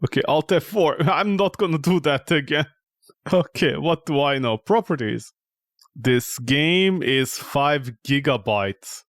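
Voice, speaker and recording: Male game streamer, fairly high voice, dry close sound, unhurried; sarcastic, exasperated, sweary off-the-cuff commentary. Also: no room sound. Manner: mocking, exasperated, swears freely. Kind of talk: casual, profane gameplay commentary.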